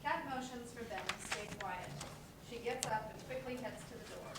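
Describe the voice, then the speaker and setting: A woman's voice: fairly high pitch, moderate pace. A woman reading stage directions as the narrator of a play, in scripted narration. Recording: very echoey room, distant mic, loud noise.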